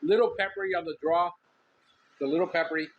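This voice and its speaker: A man's voice: sits high, fairly even intonation, fast, phone-quality sound. A middle-aged man from Miami with a Cuban Miami accent, rambling candidly as a podcast host in a casual monologue. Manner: rambling, candid, lots of ums.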